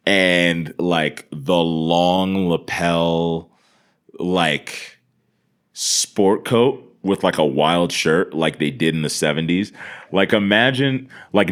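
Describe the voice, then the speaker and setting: A man from the United States, a podcast guest telling casual comedic stories with profane, slangy exaggeration. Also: low-pitched.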